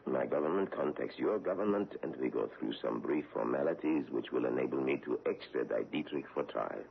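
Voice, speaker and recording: A coolly formal foreign agent with a very deep, gravelly and raspy voice that swings widely as he presses his point. He speaks in a measured, controlling way, and it comes through a tinny old radio broadcast. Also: expressive, a little hiss or hum, exaggerated voice. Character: cool, formal foreign agent hunting a fugitive. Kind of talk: 1970s radio drama acting.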